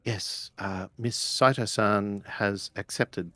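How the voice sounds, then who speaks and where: Male player as cautious samurai retainer for tabletop game, deep voice, hesitant and courteous. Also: slow pace, a bit bright, dry recording. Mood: cautious, polite. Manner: formal, hesitant, deferential. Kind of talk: in-character tabletop roleplay.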